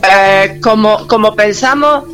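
An older woman from Córdoba with an Andalusian accent, calling a radio show and chatting in a warm, nostalgic way. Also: mid-range voice, slow, faint music behind. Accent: Cordoban Andalusian, with seseo. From Córdoba, Spain. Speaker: caller.

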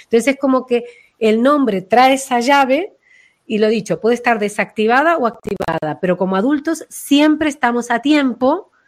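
A woman explaining her own method as a voice practitioner in an expressive, conversational way, illustrating her points as she goes. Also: on the high side, normal speed. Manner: expressive, acts out names and quotes to illustrate points. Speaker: voice and name-energy practitioner being interviewed.

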